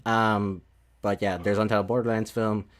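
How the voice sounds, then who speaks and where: Male podcast host, lowish voice, informal, halting delivery, unscripted chat. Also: little pitch movement. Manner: hesitant, trailing off, swears casually. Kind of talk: casual podcast chat, reading from a list.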